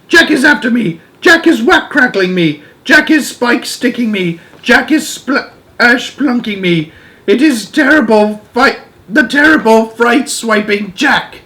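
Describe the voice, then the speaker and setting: Panicked giant, screaming high. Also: male voice, expressive, slow, sharp tone, heavily acted. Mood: terrified screaming. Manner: screaming, bellowing, pleading in garbled grammar. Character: huge brutish man-eating giant in a nightmare, panicked.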